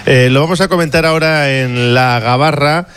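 A man presenting football news on the radio in a steady, informative way with light asides. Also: on the high side, normal speed, slight background noise. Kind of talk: scripted sports news presenting.

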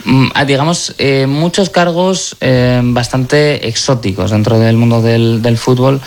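A man's voice: medium pitch, a little slow. A man on a sports radio panel, talking football in a rambling way with many fillers. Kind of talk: casual football chat.

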